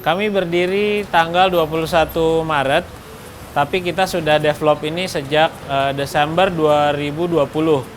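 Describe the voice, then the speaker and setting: A man speaking as a restaurant co-owner in an interview, explaining things in a measured, halting way. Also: on the high side, steady tone, slight background noise. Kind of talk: interview talk, explaining a business.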